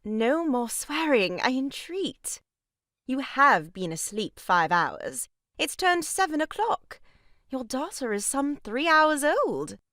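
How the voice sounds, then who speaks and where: Woman acting an arch, high, swooping young lady. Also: very animated, slow, bright, forceful tone, no echo, studio quality, heavily acted. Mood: mock-solemn reproach. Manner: theatrical, pompous scolding. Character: arch, mock-pious young sister-in-law, teasing and grandly reproving. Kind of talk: comic stage farce acting.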